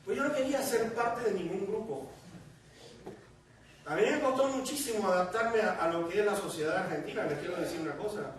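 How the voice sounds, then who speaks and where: A man's voice: high voice, fairly quick, a little hiss or hum. A man with a Puerto Rican accent preaching to a congregation, telling his own story and acting out the voices in it. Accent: Puerto Rican Spanish. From Puerto Rico. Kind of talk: sermon with personal testimony. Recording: echoey hall, distant mic.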